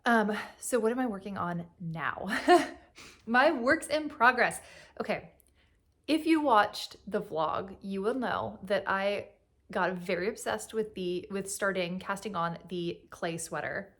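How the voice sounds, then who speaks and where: A young woman hosting a crafting podcast talks in a loose, rambling way. She has a cold and sounds a little sniffly. Her pitch sits in the middle, and she fills her talk with ums and likes. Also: animated, measured pace, a bit bright. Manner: rambling, chatty, with frequent fillers and laughs. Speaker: knitting podcast host. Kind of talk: casual chat.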